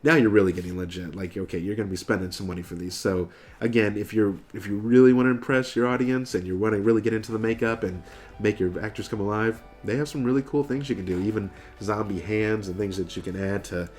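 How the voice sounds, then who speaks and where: A man's voice: on the low side, steady tone, fast, darkish tone, faint music behind. An American man in his thirties, nasal from getting over a cold, casually explaining things to camera as a video host. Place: furnished room indoors.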